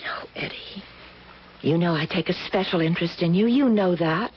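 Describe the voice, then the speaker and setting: An actress playing a kind, earnest, trusting young working woman in a 1970s radio drama, gentle and eager in manner. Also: lowish voice, normal speed, breathy edge. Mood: apologetic, tender. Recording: muffled old radio broadcast with hiss.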